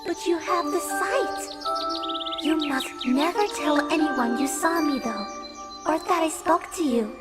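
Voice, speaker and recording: A woman's voice: sits high, takes it slowly. A woman voicing a playful, teasing female dryad, a giggly tree spirit, in an audio story. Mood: secretive, inviting. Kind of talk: audio comic story acting. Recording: loud music under the voice.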